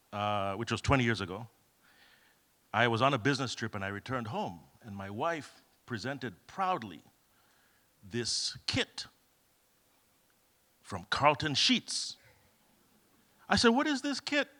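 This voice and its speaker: A man's voice: mid-range voice, sharp tone. A middle-aged man from Kenya giving a conference talk, telling humorous, self-deprecating stories with many fillers. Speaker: keynote speaker. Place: event hall.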